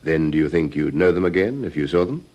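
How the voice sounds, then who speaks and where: An actor playing a methodical, probing police detective, formal and measured, in an old-fashioned radio drama. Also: male voice, rumbling low, lively intonation, darkish tone.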